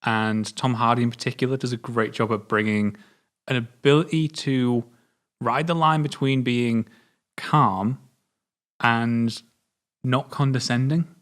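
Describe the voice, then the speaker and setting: British guy, mid pitch, chill, chatting film trivia with his mate and laughing a lot. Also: measured pace. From Britain. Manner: relaxed, shares trivia, laughs often. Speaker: co-host of a film review show. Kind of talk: casual film chat.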